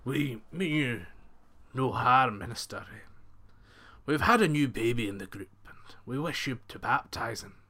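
A man's voice: mid-range voice, animated, measured pace, sharp tone. A man voicing an older bandit chief in a told folk tale, timid, deferential and imploring. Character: older male bandit chief, timid and pleading before clergy.

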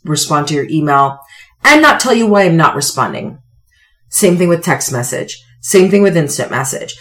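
A woman in her forties with a slightly hoarse voice, giving an emphatic, conversational motivational talk as a podcast host, with pointed pauses and rhetorical questions. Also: fairly low pitch. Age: 40s. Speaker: podcast host, self-help coach.

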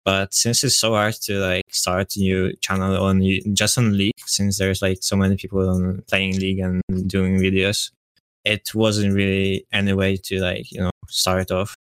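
A man's voice: low voice, moderate pace, smooth, no room sound. A young man with a Polish accent, a podcast guest chatting casually and hesitantly, with many fillers. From Poland. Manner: relaxed, hesitant, filler-heavy.

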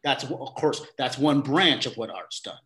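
A man being interviewed about his theater career, talking in a halting way with many restarts and repeated words. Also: medium pitch, animated, fairly bright tone. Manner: acts out quoted exchanges in a livelier voice. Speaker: theater director, podcast guest. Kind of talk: casual interview conversation.

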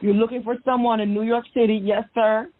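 A woman's voice: a little high, little pitch movement, a little fast, no room sound. A woman hosting a psychic call-in radio show in a folksy, sing-song way, repeating her phrases. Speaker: radio psychic host taking callers. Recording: tinny phone-like radio feed, music behind.

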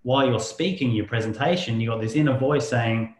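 A man's voice: mid-range voice, fairly quick, roomy sound. A man hosting a podcast interview, chatting loosely, telling stories with a laugh and some swearing. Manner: storytelling, swears freely, laughs while talking. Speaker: podcast host. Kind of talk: casual interview chat.

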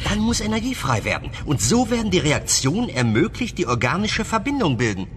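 Excitable, nerdy assistant, wildly swooping pitch. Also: male voice, a little fast, a little hiss or hum. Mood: eager explaining. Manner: excitable, hesitant. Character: eager, insecure male lab assistant, earnest and nerdy. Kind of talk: comic radio play acting.

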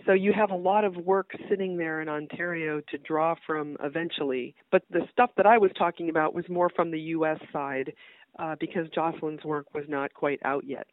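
A middle-aged woman with a cold, a university entomologist speaking as a guest, explaining field research in a brisk, practical way with many "uh"s. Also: deep voice, steady tone, dry recording. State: has a cold. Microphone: phone call. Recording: dry, tinny phone line.

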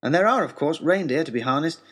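A man playing an earnest, eager young gentleman adventurer in an audio story, narrating a letter in a formal, wordy, wry way with deliberate pauses. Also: on the high side, expressive, husky. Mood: brisk, cheerful.

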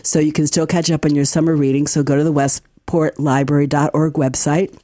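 A woman's voice: low voice, little pitch movement, fairly quick, warm tone, no room sound, studio quality. A woman hosting a radio program in a conversational, upbeat and promotional way. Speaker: radio host, library community partnership director.

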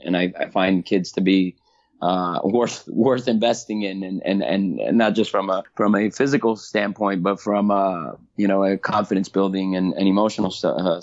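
A middle-aged man answering interview questions about the race he organizes, halting and repeating words as he thinks aloud. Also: low-pitched. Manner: halting, many repeats and fillers. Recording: dull lo-fi audio.

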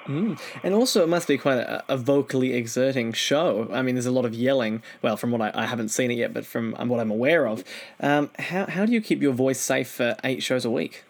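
A man's voice: mid-range voice, a little fast, creaky, noisy background. A man hosting an interview, asking prepared questions in a conversational way. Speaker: interview host.

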